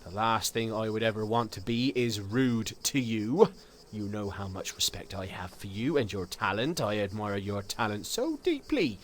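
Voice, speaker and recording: A man's voice: fairly low pitch, animated, moderate pace, slight background noise, lightly acted. A man playing a hot-tempered, exasperated estate manager in an audio drama, blustering and emphatic. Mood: strained politeness.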